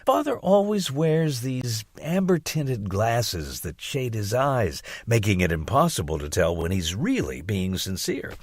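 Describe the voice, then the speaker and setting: A man reading an audiobook as narrator, telling a first-person story in an expressive, theatrical way on a close mic. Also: on the low side, measured pace, few pauses, slightly rough, dry recording.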